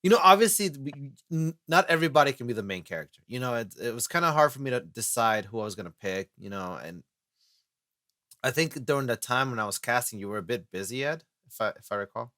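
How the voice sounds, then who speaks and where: A man with a bright, forward voice hosting a loose podcast conversation. He rambles and fills gaps with "you know" often. The sound is dry and close. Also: medium pitch, animated, fast talker, no echo. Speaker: host and casting director. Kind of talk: casual podcast chat.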